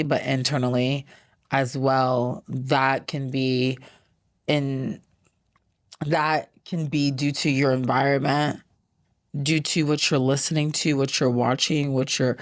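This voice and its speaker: A woman's voice: very deep voice, dry recording. Chatty woman hosting a podcast, dry sound. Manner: chatty, rambling, with fillers. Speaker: podcast host. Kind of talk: casual interview talk.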